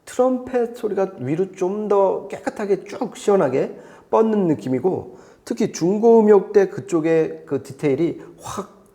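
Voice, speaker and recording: Higher-pitched, soft-toned man explaining audio gear. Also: expressive, measured pace. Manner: didactic, explains step by step with repetition. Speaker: hi-fi expert presenter explaining audio setups. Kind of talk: conversational explainer.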